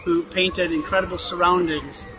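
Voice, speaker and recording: A woman's voice: on the low side, fairly even intonation, normal speed. A woman coaching someone through a testimony, recapping his words and supplying ones he's missing. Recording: noisy recording.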